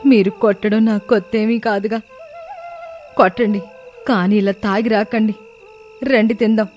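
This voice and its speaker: A woman acts out a worried, long-suffering wife in an audio moral tale. Her voice is soft-toned and mellow, plaintive and earnest. Faint music plays behind her. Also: mid-range voice, level tone, moderate pace, lightly acted. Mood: resigned. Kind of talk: dramatised moral story dialogue.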